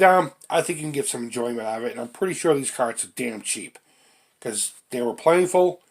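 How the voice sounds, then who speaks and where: A middle-aged man with a stuffy, congested voice from a head cold, giving casual, self-deprecating commentary as he hosts a retro video game showcase. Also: medium pitch. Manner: self-deprecating, informal, mildly crude. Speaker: host of a retro gaming channel. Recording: clearly noisy home recording.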